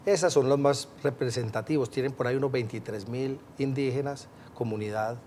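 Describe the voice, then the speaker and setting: A man's voice: mid-range voice, steady tone, brisk, a little mellow, slight background noise. A man explaining things in an interview, friendly and speaking straight to the host. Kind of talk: interview answers.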